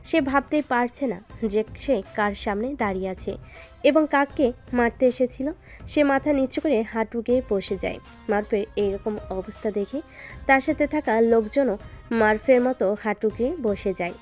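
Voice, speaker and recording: Higher-pitched woman narrating a story. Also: normal speed, a little mellow, faint music behind.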